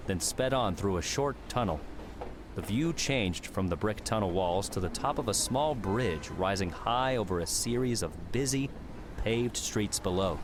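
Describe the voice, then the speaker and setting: A man reading scripted fiction as an audiobook narrator, in a measured storytelling manner. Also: fairly low pitch, background noise.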